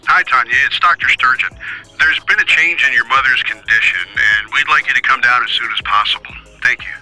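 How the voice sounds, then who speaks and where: A man's voice: mid-range voice, a little fast, pushed and bright, soft background music, poor audio. A man playing a doctor leaving a formal voicemail in an audio drama, through a phone filter.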